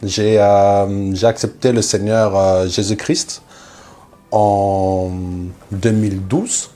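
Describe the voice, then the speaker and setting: A man's voice: fairly low pitch, slow, dark tone. A man from Algeria, a missionary guest, telling his personal religious testimony in short phrases with rhetorical questions.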